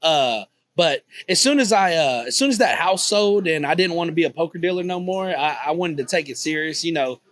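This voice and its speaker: Young Texan man, easygoing interview guest, slightly high voice, loose filler-heavy chat. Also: animated, a little fast, few pauses, fairly bright tone, dry recording. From Texas, USA. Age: 20s. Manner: easygoing, leans on "you know" fillers. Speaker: guest, poker vlogger. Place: on a cruise ship.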